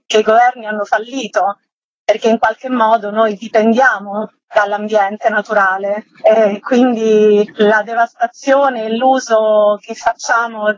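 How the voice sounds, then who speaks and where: A woman's voice: a little high, steady tone, a little slow, no room sound. A woman, a director being interviewed on radio, explaining her work earnestly. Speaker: interview guest, theatre director. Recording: dry, dull band-limited sound.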